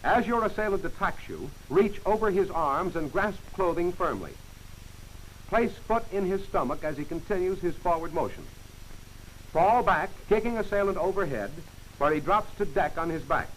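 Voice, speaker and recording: A man giving scripted instructional narration for a training film, in crisp, clipped commands. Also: high voice, expressive, moderate pace, poor audio. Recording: hissy old film soundtrack.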